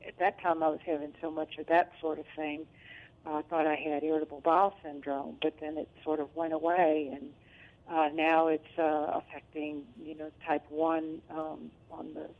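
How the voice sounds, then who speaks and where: Low-voiced woman caller on phone. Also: flat delivery, moderate pace. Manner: hesitant, many fillers. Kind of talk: call-in question. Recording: phone line.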